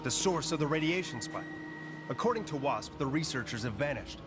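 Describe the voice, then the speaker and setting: An actor playing a calm man hero who leads a mission in a matter-of-fact way. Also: fairly high pitch, a little fast, lightly acted. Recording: over loud game music.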